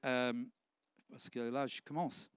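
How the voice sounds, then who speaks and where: Man, fifties, preacher, measured pace, dry close sound. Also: medium pitch, steady tone, clear, resonant tone, dry recording. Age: 50s. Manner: earnest, repetitive restarts, exclamatory. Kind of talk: sermon. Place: church.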